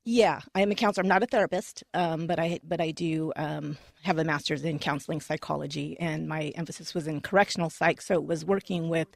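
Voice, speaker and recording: A woman with a low, gravelly voice, recorded dry and close. She speaks in a chatty way, stopping to correct herself and filling gaps with ums. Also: fairly even intonation, brisk, few pauses, dry recording. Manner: chatty, self-correcting, many fillers. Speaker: podcast host, guest. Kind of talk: roundtable discussion.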